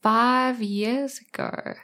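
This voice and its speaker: A woman's voice: on the high side, steady tone, very slow, a bit bright. A woman with a South African accent chatting as a podcast guest, thinking aloud as she talks. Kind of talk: casual interview chat.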